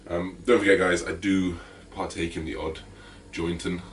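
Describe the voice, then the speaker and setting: A man with a deep, slightly raspy voice, talking casually to camera in a fitness vlog with lots of fillers. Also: moderate pace, recorded from a distance, slight background noise. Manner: energetic, chatty, full of fillers. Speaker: fitness vlogger.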